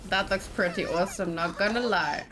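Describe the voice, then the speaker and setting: A woman giving casual, excitable let's play commentary, talking to herself and repeating words in quick bursts. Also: mid-range voice. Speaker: gaming let's play host.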